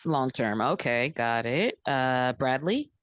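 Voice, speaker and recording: Low lively woman hosting a dating show. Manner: brisk, calls names, laughs often. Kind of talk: hosting a group call.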